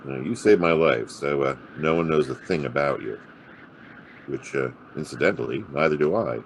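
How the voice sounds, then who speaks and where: An actor playing a hard-boiled, wisecracking private detective in a noir radio drama, with a gravelly, husky voice and a sardonic drawl. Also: male voice, extremely low pitch, moderate pace, slight background noise. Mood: probing, wry. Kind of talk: noir radio drama acting and first-person narration.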